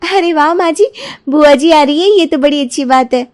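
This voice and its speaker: Woman acting a high, sugary young daughter-in-law. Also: moderate pace, darkish tone, close to an everyday voice. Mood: sweet, eager. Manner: sugary, eager to please. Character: lazy, sweet-talking young daughter-in-law, cheerful schemer. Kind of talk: Hindi cartoon moral story dialogue.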